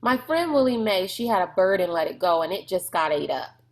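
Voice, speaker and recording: A woman's voice: medium pitch, very animated, a little fast. A woman voicing a timid, cautious country boy in a storybook, with hesitant, folksy dialect. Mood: worried, pleading.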